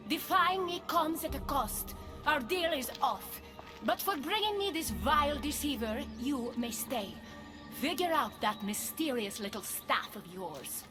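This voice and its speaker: High-voiced, haughty goddess queen. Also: lively intonation, a little slow, over music. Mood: cold, commanding. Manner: haughty, commanding. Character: imperious goddess queen, proud, cold and wounded. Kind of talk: dramatic video game cutscene acting.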